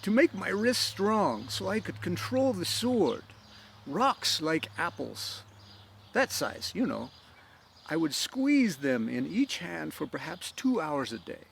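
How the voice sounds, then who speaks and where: A man puts on a driven young swordsman from a storybook, eager and earnest. His voice sits fairly high and leaps about as he rambles through his explanations, with a little noise behind him. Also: sing-song, slow, creaky, fairly bright tone, full character voice. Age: young adult. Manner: eager, rambling, counting aloud.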